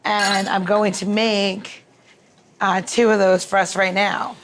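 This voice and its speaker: A woman's voice: mid-range voice, level tone, slow, background noise. A woman hosting a podcast, chatting informally as she talks through mixing a drink, often checking in with the listener. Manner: chatty, informal, frequent "like" and "right?". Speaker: podcast host and bartender. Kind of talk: casual chat, talking through a cocktail demonstration.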